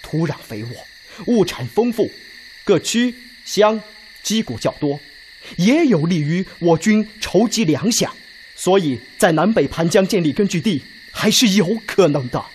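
A man playing a stubborn, earnest Red Army officer in a radio drama, arguing deliberately and insistently, point by point. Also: sits high, lively intonation, slight background noise. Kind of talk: Chinese radio drama acting.

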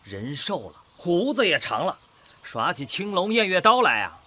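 An actor playing a jovial, flattering villager in a radio drama, effusive and folksy. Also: male voice, high-pitched, moderate pace, slightly rough, heavily acted. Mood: jovial, admiring.

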